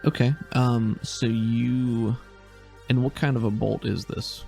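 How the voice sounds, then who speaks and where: A man narrating as game master in a casual tabletop session, relaxed and hesitant, thinking aloud with many fillers. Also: medium pitch, slow pace, gravelly, faint music behind.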